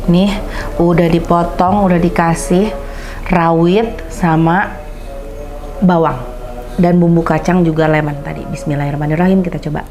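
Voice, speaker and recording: A woman's voice: fairly low pitch, steady tone, normal speed, over music. A young woman hosting a casual food review, chatty and savoring each bite as she comments. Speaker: food vlogger host. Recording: clattering dishes and pans behind.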